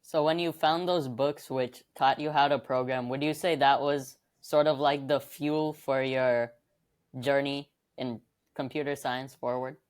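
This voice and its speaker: A man's voice: medium pitch, flat delivery, a little slow. A man co-hosting a podcast, asking interview questions in a conversational way.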